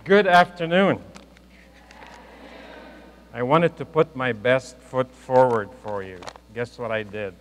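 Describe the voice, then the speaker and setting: A man's voice: mid-range voice, slow pace, long pauses. Man speaking in an echoing hall. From Lebanon. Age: 50s. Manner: earnest, joking, speaks to the crowd. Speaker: guest speaker. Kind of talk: motivational speech to a youth audience. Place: large hall with a live audience. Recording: reverberant hall, mains hum.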